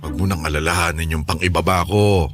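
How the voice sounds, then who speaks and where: Actor as easygoing dad, middle-aged, very deep voice, teasing banter, radio drama. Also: male voice, steady tone, a little fast, soft background music, natural voice.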